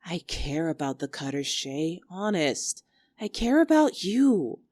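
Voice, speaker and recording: A woman's voice: on the low side, sing-song, slow, a little mellow, dry recording, exaggerated voice. A woman playing an earnest young woman in a dramatic argument, anxious but stubborn, pleading and defensive. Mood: hurt, pleading. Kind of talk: dramatic two-character argument performance.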